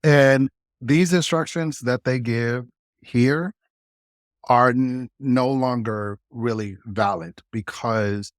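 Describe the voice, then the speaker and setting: A man's voice: medium pitch, takes it slowly, no room sound, clean studio sound. A man speaking informally and directly to viewers as he walks them through things like a student video creator. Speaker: student video creator giving a course walkthrough. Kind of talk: casual explanatory walkthrough.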